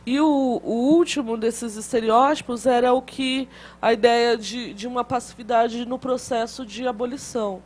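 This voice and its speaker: A woman's voice: a little high, fairly even intonation, moderate pace, a little mellow. A woman giving a classroom lecture in a conversational way, often adding tag questions and restarting phrases.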